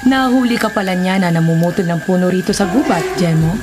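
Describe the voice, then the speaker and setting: A woman's voice: on the low side, a little fast, noisy background, close to an everyday voice. An actress playing a corrupt, calculating female Filipino village captain in a radio drama, speaking firmly. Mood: cold, scheming. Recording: loud dramatic music behind voice.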